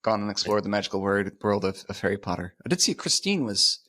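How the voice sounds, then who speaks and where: Husky-voiced man hosting a tech podcast. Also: on the low side, very animated, fast talker, bright, forceful tone, no room sound. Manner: jokey, upbeat, with filler words. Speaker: podcast host. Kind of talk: casual tech podcast chat.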